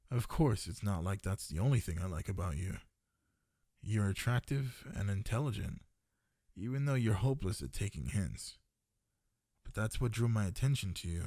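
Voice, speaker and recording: Casting: male actor as smitten half-dragon librarian for ASMR roleplay; lowish voice, close dry sound, gentle, teasing, possessive, intimate. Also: no echo. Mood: fond, reminiscing. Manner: intimate, tender, addressing one listener with endearments. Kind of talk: ASMR boyfriend roleplay, scripted.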